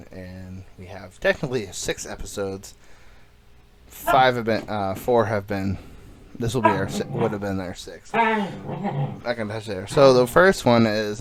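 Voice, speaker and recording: A young man co-hosting a casual podcast chat, rambling with lots of fillers and crude jokes. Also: lowish voice. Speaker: podcast co-host.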